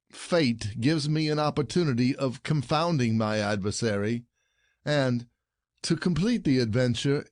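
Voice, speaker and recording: An actor playing an aggrieved, scheming husband in a classic stage farce, declaiming formally and indignantly. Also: male voice, medium pitch, a little mellow, no room sound, clean studio sound, lightly acted. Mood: excited, triumphant. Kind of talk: classic comedy audio play acting.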